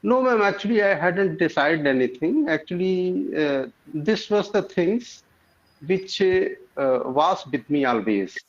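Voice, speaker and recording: A man's voice: high-pitched, expressive, a little slow. A man in his forties who teaches primary school, speaking hesitantly with many fillers and polite formal address. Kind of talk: casual conversation practice. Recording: muffled lo-fi audio.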